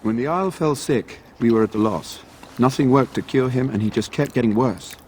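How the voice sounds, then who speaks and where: A man's voice: medium pitch, fairly quick, a little mellow, slight background noise, close to an everyday voice. A male actor playing a solemn, courteous knight who defends a village, speaking formally in a fantasy game. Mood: grave. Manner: formal, archaic phrasing. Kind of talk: fantasy game dialogue acting.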